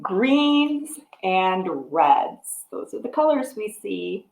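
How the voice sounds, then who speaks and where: A middle-aged American woman speaks to young children in a storytime style, her pitch moving in lively swoops. She explains things simply and puts questions to the listener. The sound is roomy, picked up by a device microphone indoors. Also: slow, creaky. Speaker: children's librarian hosting storytime. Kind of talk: picture-book read-aloud and craft talk to young children. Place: room at home with wooden floor and piano. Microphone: device microphone at about arm's length.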